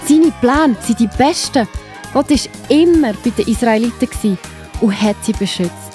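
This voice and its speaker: A woman with a Swiss accent narrating Bible stories for children in an expressive, enthusiastic, simple way. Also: fairly high pitch, unhurried. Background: music behind the voice.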